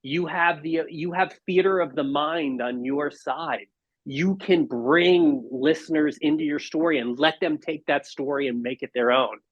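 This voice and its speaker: A man's voice: a little high, measured pace, slightly rough, no echo. Man in dull lo-fi interview audio. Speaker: podcast guest, marketing executive. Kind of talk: reflective interview conversation.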